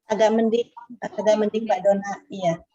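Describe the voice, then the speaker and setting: A woman's voice: medium pitch, little pitch movement, normal speed, dark tone. A woman with an Indonesian accent chatting casually as a participant on a video call. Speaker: workshop participant. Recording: dull lo-fi video-call audio.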